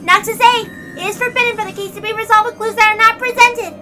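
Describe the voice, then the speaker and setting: Woman acting a very high, stern inquisitor. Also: steady tone, quick pace, exaggerated voice. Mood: absolute. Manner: formal, declarative, unyielding. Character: stern, formal female inquisitor reciting absolute laws. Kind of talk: dramatic visual novel read-aloud. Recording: loud music behind the voice.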